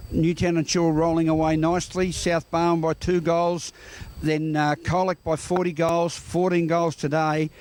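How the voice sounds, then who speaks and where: An Australian man working as a sports reporter, asking halting, wordy questions in a post-match interview. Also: a little high, flat delivery, a little mellow.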